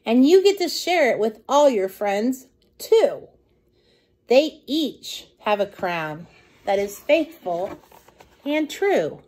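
A middle-aged woman reading a children's picture book aloud in an expressive, deliberate, sing-song way. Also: on the high side. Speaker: children's storytime reader.

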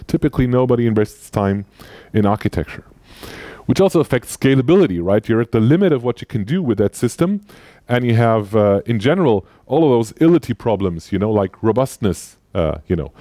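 A man's voice: mid-range voice, dark tone, dry recording. A man in his forties giving a conversational conference talk on a headset mic, often tagging sentences with "right?". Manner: conversational, frequent "right?" tag questions; gestures while walking the stage. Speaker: conference speaker. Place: lecture room with projector.

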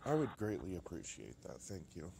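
A man's voice: sits low, wildly swooping pitch, fairly quick, creaky, warm tone, slightly rough. A man calling a helpline, talking casually in a phone conversation. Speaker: helpline caller. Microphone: telephone. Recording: noisy phone call recording.

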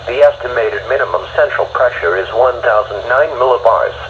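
A man's voice: medium pitch, a little fast. Robotic american guy reading weather radio forecasts, muffled, bit of hiss, phone recording of a radio. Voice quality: synthetic text-to-speech. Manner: flat, formal recitation. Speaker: automated weather radio announcer. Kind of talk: scripted weather bulletin. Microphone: phone held to a radio speaker. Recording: dull radio broadcast re-recorded by phone, faint noise.

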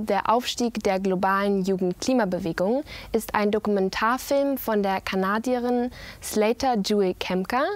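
A woman's voice: mid-range voice, darkish tone. Young woman summarising a documentary. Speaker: youth theatre performer presenting a film. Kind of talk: film summary to camera. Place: empty cinema auditorium. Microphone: lapel mic.

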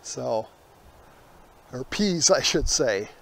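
A man, hosting a casual garden tour in a folksy, unhurried way outdoors. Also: medium pitch, animated, gravelly, a bit bright. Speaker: gardening vlog host.

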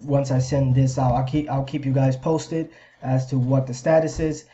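Man explaining casually, mellow slightly muffled sound. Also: medium pitch, fairly even intonation, normal speed. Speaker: video host sharing a business plan. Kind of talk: casual screen-share explainer. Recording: slightly dull, lo-fi microphone sound.

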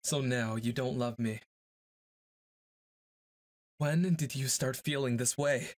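A man's voice: mid-range voice, slow pace, no echo. A man performing a boyfriend ASMR roleplay, speaking to an unseen listener in a moody, dramatic way.

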